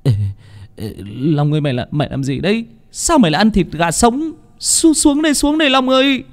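A man's voice: medium pitch, big pitch swings, moderate pace, dark tone, breathy edge. A man voicing a frightened village boy in a horror story, stammering and urgent. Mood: panicked. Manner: stammering, urgent, breathless outbursts.

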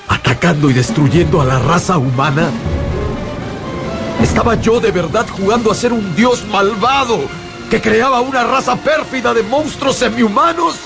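An actor playing an anguished young scientist in a radio drama, halting, dramatic and emotional. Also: male voice, sits high, music in the background. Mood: haunted dread. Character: anguished young male scientist, dutiful, haunted by guilt. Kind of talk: radio drama acting and narration.

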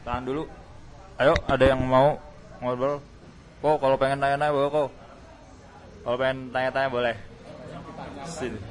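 A man's voice: medium pitch, level tone, normal speed, pauses often. Casual, slangy male radio host. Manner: informal, slangy, chatty. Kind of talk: casual radio music interview.

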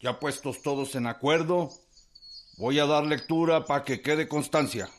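Radio drama: male actor as formal rebel officer, slow declamatory delivery, slightly high voice. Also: slight background noise, close to an everyday voice. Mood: solemn. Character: solemn rebel officer reading a proclamation to comrades.

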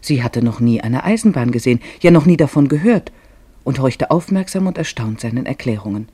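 Weicher, dunkler Erzähler mit belegter Stimme. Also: male voice, mid-range voice, lively intonation, fairly quick, mellow, husky, no room sound. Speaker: narrator. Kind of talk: literary scripted narration for a radio play.

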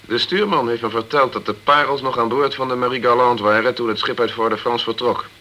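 An actor playing a dogged, straight-talking insurance investigator in a Dutch radio crime drama, direct and clipped. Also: male voice, medium pitch, fairly even intonation, a little fast, pushed and bright, a little hiss or hum, lightly acted. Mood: insistent.

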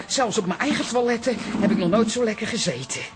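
Actress as lively, chatty Dutch auntie. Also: female voice, medium pitch, fast, background noise, natural voice. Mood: relieved. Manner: exclamatory, chatty. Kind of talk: comic radio play acting.

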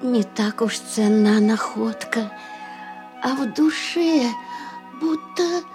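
A high, cooing voice of a tender old fairy-tale wife. She speaks slowly and joyfully, like someone in a storybook audio play, with music behind her. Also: lively intonation. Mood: wondering, happy.

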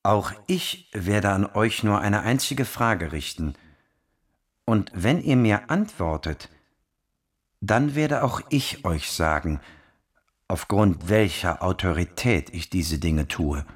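An actor playing a grave, unyielding Jesus in a radio play, speaking deliberately and solemnly with a husky voice. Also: male voice, sits low, expressive, exaggerated voice. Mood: grave, challenging. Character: Jesus, a grave, unyielding man answering his opponents. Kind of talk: radio play scripture dialogue.

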